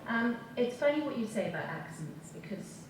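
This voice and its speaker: A woman's voice: fairly high pitch, big pitch swings, moderate pace. A woman with a strong Welsh accent, an actor speaking thoughtfully on a panel. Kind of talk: panel discussion. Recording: echoey hall from far off, noisy.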